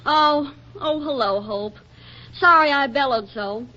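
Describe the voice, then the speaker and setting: High, bright-voiced harried 1940s housewife. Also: female voice, slow, exaggerated voice. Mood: exasperated. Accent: American. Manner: wry, dramatically exasperated, brittle politeness. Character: harried, jealous young housewife and mother, sharp-tongued. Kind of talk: 1940s radio comedy acting. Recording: dull, band-limited 1940s radio recording.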